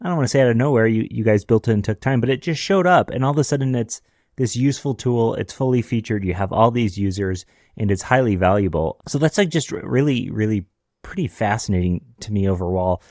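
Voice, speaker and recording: A man's voice: fairly low pitch, animated, fast talker, darkish tone, no room sound, studio quality. A man hosting a podcast, asking casual, enthusiastic questions. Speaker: podcast host.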